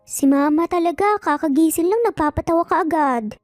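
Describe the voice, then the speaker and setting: A woman's voice: very high-pitched, brisk, no room sound, studio quality, faint music behind, pitched above the performer's own voice. A woman voicing cheeky young boys in a dramatized story, with a playful, pleading, childlike manner. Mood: amused. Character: cheeky young triplet boys teasing their parents.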